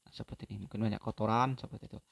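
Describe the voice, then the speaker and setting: A man giving an unhurried, step-by-step phone repair tutorial, pausing often with fillers. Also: lowish voice, fairly even intonation, creaky, slight background noise.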